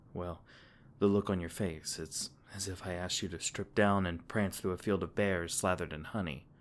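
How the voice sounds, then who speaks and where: A man voicing a gentle, protective male fae king in an ASMR comfort roleplay, soft-spoken and tender, stumbling over his words now and then. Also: low-pitched, fairly even intonation, moderate pace, a little hiss or hum, lightly acted. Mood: tender, soothing.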